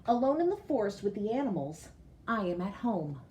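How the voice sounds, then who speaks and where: A woman reading a picture book aloud to children like a teacher, expressive, pausing to explain and put questions directly to young listeners. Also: mid-range voice, slight background noise. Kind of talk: storybook read-aloud with explanatory asides.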